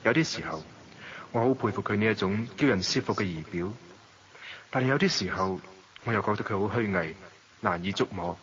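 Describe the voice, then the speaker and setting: An actor playing a suave, worldly gentleman narrating and talking in a radio drama, with a Hong Kong Cantonese accent. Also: on the low side, animated, brisk, long pauses. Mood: reflective, measured. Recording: dull lo-fi audio, noisy background.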